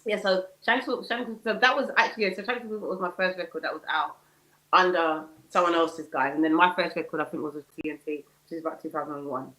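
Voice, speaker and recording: Fast-talking raspy woman. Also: on the low side, sharp tone, poor audio. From United Kingdom. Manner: fast, chatty storytelling, laughing at herself. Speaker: guest singer. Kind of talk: casual podcast interview.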